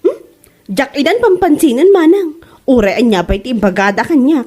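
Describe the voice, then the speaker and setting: A woman's voice: high-pitched, animated, moderate pace, dark tone, breathy edge, faint music behind. A woman playing a polite, unbothered young working woman in an Ilocano comedy drama, with an Ilocano Filipino accent.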